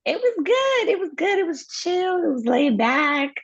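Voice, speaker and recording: A woman's voice: very high voice, very animated, unhurried, vocal fry, dry recording. Woman, young adult, podcast guest, upbeat, laughs often, dry muffled sound. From born in Nigeria, raised in Maryland. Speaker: podcast guest, floral entrepreneur. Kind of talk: casual interview storytelling.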